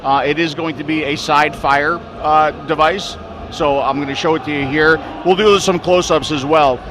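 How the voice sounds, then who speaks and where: A man's voice: on the high side, fairly even intonation, moderate pace. A man hosting a vlog, chatting casually and jokingly while walking through products. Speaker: vlog host. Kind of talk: casual chat and product walkthrough. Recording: loud background noise.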